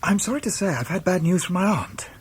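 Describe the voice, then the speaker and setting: An actor playing an earnest, polite, lovestruck young English gentleman in a period radio drama. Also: high voice, animated, quick pace, breathy edge, heavily acted. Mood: polite, anxious.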